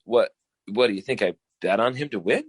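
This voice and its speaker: Husky, shady gambler boyfriend played by an actor. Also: male voice, on the low side, lively intonation, fairly quick, no echo. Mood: smug reassurance. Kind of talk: 1940s radio comedy acting.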